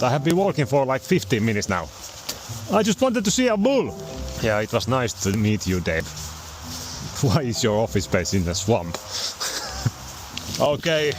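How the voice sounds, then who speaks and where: A man with a Finnish accent vlogging casually outdoors in English, playful and self-mocking, laughing often. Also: lowish voice. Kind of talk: casual vlog chat. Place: outdoors by the water.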